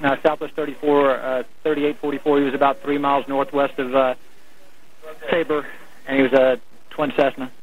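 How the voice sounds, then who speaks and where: A man's voice: medium pitch, level tone, moderate pace. A man working as an air traffic controller, giving clipped procedural instructions over the radio. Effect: radio transmission. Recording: narrow-band, noisy air traffic radio.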